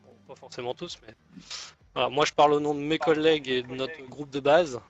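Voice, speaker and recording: A man's voice: on the high side, steady tone, brisk, close to an everyday voice. A man playing a deferential gang negotiator in an online roleplay, speaking in a hesitant, rambling, conciliatory way. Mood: earnest, conciliatory. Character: deferential male gang negotiator offering an alliance. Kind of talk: improvised online roleplay dialogue. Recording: muffled lo-fi game voice chat.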